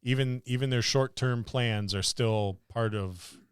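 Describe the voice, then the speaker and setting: Clear, smooth-voiced man chatting. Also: mid-range voice, a little slow, no room sound, studio quality. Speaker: podcast co-host. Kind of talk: casual tabletop gaming discussion.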